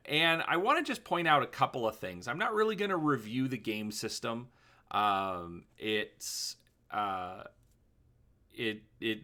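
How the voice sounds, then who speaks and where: Male host, 40s, animated and bright, chatty show-and-tell delivery. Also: mid-range voice. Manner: chatty, with fillers and restarts. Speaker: host of a gaming channel. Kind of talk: casual show-and-tell talk.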